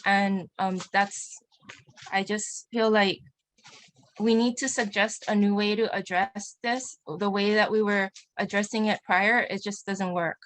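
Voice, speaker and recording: A woman speaking on a panel in a halting way, often restarting her sentences. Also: medium pitch, fairly even intonation, fairly bright tone, dry recording. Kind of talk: online panel talk.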